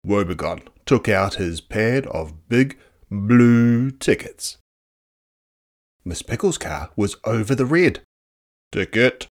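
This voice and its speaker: A narrator reading a children's book aloud in a dramatic, playful, expressive style. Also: mid-range voice, slow. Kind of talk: expressive storybook read-aloud.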